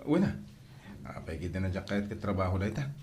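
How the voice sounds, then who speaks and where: A man plays a hardworking family man in an Ilocano-accented drama. His voice is on the low side, and his manner is polite and humble. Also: sing-song, quick pace, a little mellow, slightly rough, full character voice. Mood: curious. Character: polite, hardworking rural father looking for work. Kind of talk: Ilocano audio drama acting.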